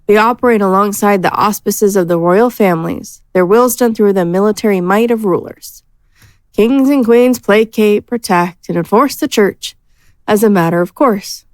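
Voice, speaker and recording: A woman's voice: mid-range voice, normal speed, no room sound, lightly acted. A woman playing a learned, matter-of-fact adventurer who explains things articulately in a fantasy audio drama. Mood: explaining. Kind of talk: scripted audio drama acting.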